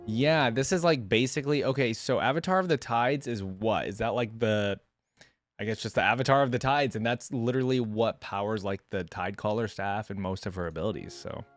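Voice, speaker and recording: A man's voice: lowish voice, a little fast, no echo, faint music behind. A man doing casual reaction commentary, thinking aloud in laid-back, slangy language. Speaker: reaction video creator and commentator.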